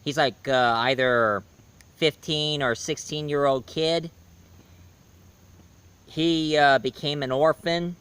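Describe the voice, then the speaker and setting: A man giving a casual spoken review, hoarse, rambling and correcting himself mid-sentence. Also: fairly high pitch, fairly even intonation, slow pace, long pauses, fairly bright tone. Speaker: movie and comics reviewer.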